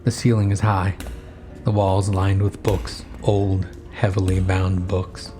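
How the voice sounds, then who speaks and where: Male narrator for first-person horror: very low, monotone, measured scripted delivery. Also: soft background music. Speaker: narrator and writer of horror story.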